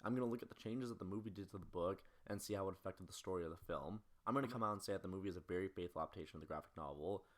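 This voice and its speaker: A young man with a very low voice delivers scripted video essay narration at a quick clip. He moves briskly from point to point in the manner of a film critic. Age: early 20s.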